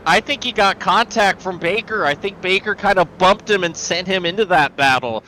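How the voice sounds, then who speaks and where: A man with a hoarse voice giving analytical color commentary on a sim race. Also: high voice, normal speed, bright, forceful tone, a little hiss or hum, poor audio. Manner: analytical, explains car handling.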